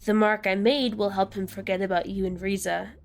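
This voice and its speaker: A woman's voice: mid-range voice, level tone, brisk, gravelly, natural voice. An actress playing a resolute, protective magic worker in an audio drama, firm and solemn in her delivery. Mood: calm, resolved. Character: resolute protective woman who works magic.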